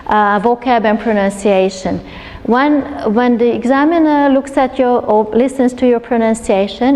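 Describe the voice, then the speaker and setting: A woman's voice: a little high, mellow. Woman presenting in a reverberant hall. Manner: many restarts and fillers, explaining to a room. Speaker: educational consultant presenting a coursebook. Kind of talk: live presentation to teachers. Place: lecture hall at a university.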